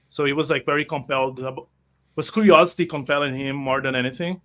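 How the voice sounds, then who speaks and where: Mid-pitched man, halting, thinking aloud. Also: steady tone, sharp tone. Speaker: tabletop role-playing player. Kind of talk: casual group chat about a role-playing game.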